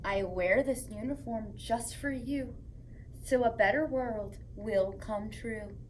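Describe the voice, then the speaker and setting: Woman, children's story-time host, high voice, unhurried delivery, playful and expressive, some background noise. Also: poor audio. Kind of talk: animated read-aloud for young children.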